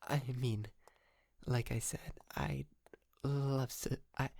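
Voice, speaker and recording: Up close and very dry, a man plays a bashful, lovesick male kidnapper in an ASMR roleplay. He speaks slowly, with a crackly fry in his voice. He stammers and apologises, polite and awkward even while being possessive. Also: medium pitch, no room sound. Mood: sweetly possessive. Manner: hesitant, stammering, nervous giggles, apologetic. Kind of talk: intimate ASMR roleplay to the listener. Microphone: close microphone, dry.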